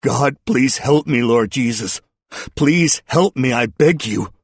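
A man's voice: medium pitch, big pitch swings, moderate pace, gravelly, husky, no room sound, voice pushed up, heavily acted. A man voicing a blustering, cornered middle-aged man who turns from indignation to begging, in an audiobook story. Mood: sobbing, begging. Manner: loud, blustering, breaking into begging.

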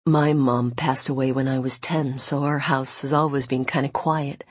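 Woman voicing a wistful, sincere man, low-pitched. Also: steady tone, normal speed, breathy edge, dry recording. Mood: wistful, subdued. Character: friendly American man, wistful, playful, newly smitten.

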